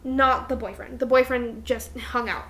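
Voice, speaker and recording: A young adult woman co-hosting a podcast in casual chat with a friend, with an animated, exclamatory, candid way of talking and casual swearing. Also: a little high, normal speed. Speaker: podcast co-host.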